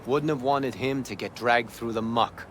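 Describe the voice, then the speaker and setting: A man's voice: mid-range voice, normal speed, fairly bright tone, slight background noise, close to an everyday voice. A man playing a cynical, world-weary corrupt cop in a video game, with an American accent and scornful, lecturing street talk. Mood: insistent, bitter.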